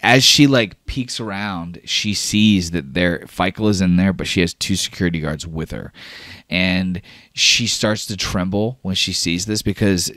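A man's voice: low voice, measured pace, a bit bright, dry recording. A man running a tabletop game as game master, narrating in a casual, rambling, profane way over a voice call.

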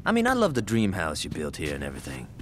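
An actor playing a swaggering, trigger-happy gang lieutenant in a video game, cocky, profane and slangy. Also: male voice, a bass voice, huge pitch swings, quick pace, a little hiss or hum, exaggerated voice. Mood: eager. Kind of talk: video game cutscene acting.

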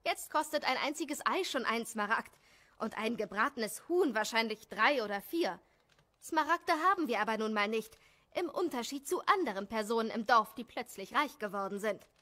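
A woman's voice: on the high side, lively intonation, moderate pace, pushed and bright. A woman playing an irritable village wife and mother with a scolding, exasperated manner in an audio drama. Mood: irritated, scolding.

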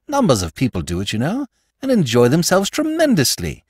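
Male narrator as suave master thief, teasing, self-assured, articulate, expressive pitch, dry close recording, storybook character. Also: fairly quick, breathy edge, dry recording, heavily acted. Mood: consoling.

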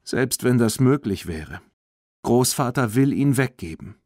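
A man's voice: mid-range voice, animated, a little slow, a little mellow, dry recording, clean studio sound, voice pushed up, close to an everyday voice. A man voicing an anxious young girl in an audiobook, earnest and emotional. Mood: discouraged. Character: anxious young girl, worried about her beloved foal.

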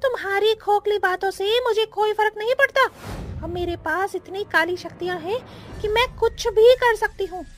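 A woman plays a wicked cartoon witch with an extremely high, shrill pitch. She boasts and taunts with gloating, cackling menace, over prominent music. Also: moderate pace. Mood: scornful. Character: gloating evil female witch who loves killing. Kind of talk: Hindi cartoon horror story acting.

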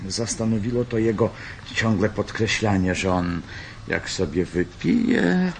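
An actor playing an uneasy, conscience-stricken male engineer in a radio drama, hesitant and halting, repeating himself. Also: fairly low pitch, lively intonation, creaky, a little hiss or hum, full character voice. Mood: troubled, resolute.

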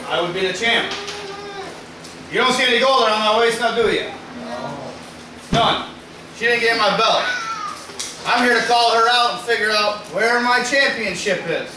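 A man's voice: high voice, normal speed. A young man, a wrestler delivering a cocky, boastful live promo. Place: small indoor wrestling venue with audience. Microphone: far camera. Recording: very echoey room, far camera, loud noise.

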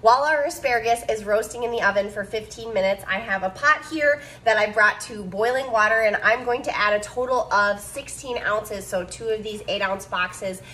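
A woman in her thirties co-hosting a casual home cooking demo, giving clear step-by-step instructions. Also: mid-range voice, normal speed, hardly any pauses, pushed and bright, slight background noise. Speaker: cooking show co-host. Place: home kitchen, indoors. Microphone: phone camera at counter distance.

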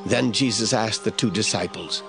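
Narrator: male, low-pitched, reading scripture from a script for audio drama, light music underneath. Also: normal speed.

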